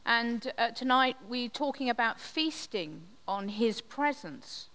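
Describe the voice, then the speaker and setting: Slow gentle woman preaching in a reverberant hall. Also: on the high side, expressive. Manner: slow, gentle, reflective delivery with pauses. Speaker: preacher leading communion. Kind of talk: sermon.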